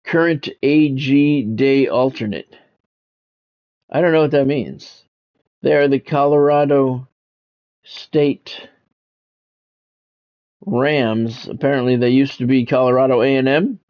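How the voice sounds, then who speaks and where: A man's voice: mid-range voice, steady tone, slow, long pauses. A man in a mid-range voice talks through a sports video game like a play-by-play announcer at ease. He is conversational and often thinks aloud. The sound is a little dull and lo-fi. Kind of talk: casual live gaming commentary with sportscast touches.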